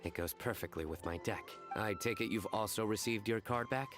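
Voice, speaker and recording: An actor playing a serious, reserved young card player in a video game, composed and understated. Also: male voice, on the low side, a little fast, natural voice. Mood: composed, inviting. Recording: game dialogue under clear background music.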